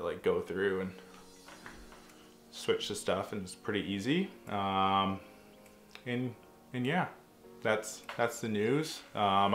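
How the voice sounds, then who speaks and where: A man's voice: on the low side, fairly bright tone, reverberant. A young man vlogging casually at home, sounding a little nasal from a coming cold, with many ums and restarts. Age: young adult. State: getting a cold. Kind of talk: casual chat to camera. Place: home living room, indoors.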